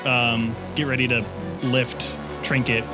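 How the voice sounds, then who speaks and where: A man's voice: mid-range voice, flat delivery, slow, rough recording. A man playing a tabletop role-playing game, talking informally and thinking aloud about his next move. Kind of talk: casual game-table chat. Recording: loud music bed behind the voice.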